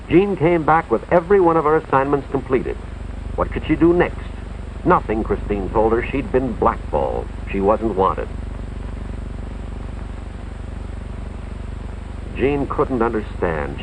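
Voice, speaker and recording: An American man playing an earnest, authoritative school official, narrating a cautionary tale in a deliberate, moralizing 1950s educational film style, with dramatic emphasis. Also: mid-range voice, big pitch swings, slight background noise, lightly acted. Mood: sympathetic, grave. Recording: tinny, band-limited 1950s film soundtrack, music behind.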